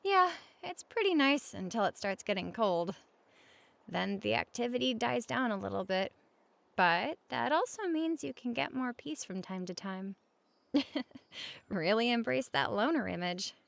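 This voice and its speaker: A woman playing a friendly, reassuring roommate in a conversational comfort roleplay, speaking gently and casually at regular volume. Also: fairly high pitch, animated, unhurried, vocal fry, dry recording. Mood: relaxed, chatty. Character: friendly, reassuring young female roommate offering company.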